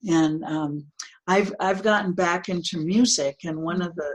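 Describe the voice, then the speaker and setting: An older woman with a low, earthy voice speaks as an interview guest. She reflects at length, restarting phrases and laughing lightly as she talks. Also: unhurried. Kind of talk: casual interview conversation.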